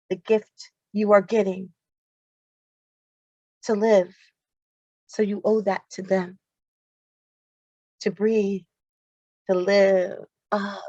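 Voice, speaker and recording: A woman's voice: medium pitch, a little mellow, no echo. Woman poet, hard-hitting spoken word, dry muffled call audio. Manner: intense, confrontational delivery.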